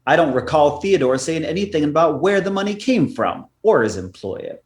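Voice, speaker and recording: A man's voice: fairly high pitch, expressive, normal speed, natural voice. A man playing a menacing, sardonic racetrack businessman in a comic radio play, curt and tough.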